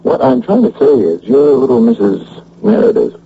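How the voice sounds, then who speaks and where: An actor playing a streetwise, wisecracking police detective in a radio drama, with colloquial talk. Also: male voice, mid-range voice, wildly swooping pitch, normal speed, gravelly, husky, heavily acted. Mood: earnest, scheming. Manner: colloquial, hesitant then cocky. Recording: dull, humming old radio broadcast recording.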